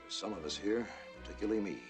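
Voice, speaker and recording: A man's voice: lowish voice, very fast, music in the background, close to an everyday voice. A man playing an intense, hard-boiled flight captain in a spoof disaster film, commanding and mock-serious. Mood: gruff approval.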